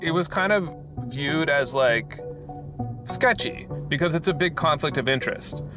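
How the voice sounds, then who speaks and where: A man's voice: fairly high pitch, lively intonation, unhurried. Chatty man with "like" fillers. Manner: chatty, lots of "like". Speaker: documentary commentator. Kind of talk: casual documentary commentary. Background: documentary score music.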